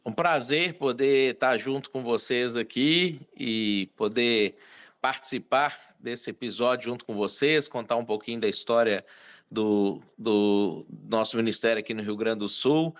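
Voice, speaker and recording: A man in his late thirties from Minas Gerais, a pastor, speaks in an earnest, measured way and often restarts his phrases. His audio is dry and dull, with no room sound. He sits at a mid pitch. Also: a bit bright, no room sound. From Brazil, Minas Gerais. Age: 30s. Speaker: pastor, guest. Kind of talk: interview, telling his life story.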